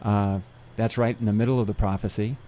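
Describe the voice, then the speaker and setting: A man who gives seminars, guesting on a radio interview, explaining things in a steady, methodical way. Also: on the low side, brisk, a little hiss or hum. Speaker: seminar speaker.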